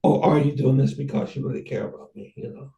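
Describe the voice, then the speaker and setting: A man hosting a casual conversation, rambling and halting with many restarts. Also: medium pitch, completely flat delivery, creaky, mellow, roomy sound, distant mic. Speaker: host.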